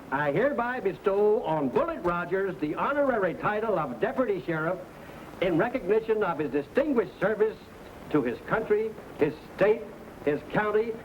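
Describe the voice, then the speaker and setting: A man's voice: sits high, a little hiss or hum. A man playing a dignified, law-abiding county sheriff in an old Western drama, with formal, official phrasing. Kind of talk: mid-century Western drama acting. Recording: dull old radio-era recording, music behind.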